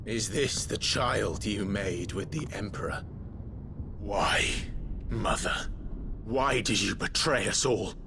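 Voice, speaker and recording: A man's voice: fairly low pitch, takes it slowly, noisy background. A man voicing an earnest, battle-hardened warrior son in an intense game cutscene, music behind. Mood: wounded, accusing. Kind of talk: dramatic video game cutscene acting. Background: orchestral game music.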